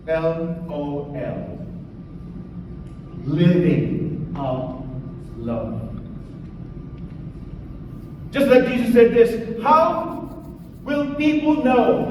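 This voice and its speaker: Slow-preaching man in an echoey hall. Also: very high-pitched, a little mellow. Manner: slow, emphatic preaching with long pauses and falling endings. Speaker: pastor. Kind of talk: sermon. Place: church hall. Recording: echoey hall, voice from far off, faint noise.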